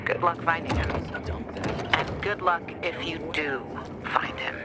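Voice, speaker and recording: High-pitched, wry male ally. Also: slow, very noisy. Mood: sardonic. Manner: sardonic, familiar. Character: wry, knowing male ally giving gruff advice. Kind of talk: video game dialogue acting. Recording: game music behind.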